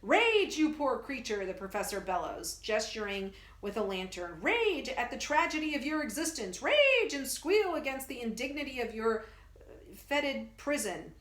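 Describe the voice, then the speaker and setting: A woman voicing a bombastic male traveling medicine-show huckster who booms his lines in a grandiose showman style. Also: a little slow, pushed and bright. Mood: solemn. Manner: grandiose, booming showman delivery. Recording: echoey room.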